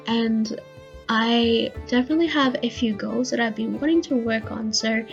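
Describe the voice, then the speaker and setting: A woman, a little croaky from being sick, narrating her journal setup in a soft, chatty and enthusiastic voice-over. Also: on the high side, lo-fi sound. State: slightly croaky from illness. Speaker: bullet journal video creator. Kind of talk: voice-over narration of a crafting video. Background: faint background music.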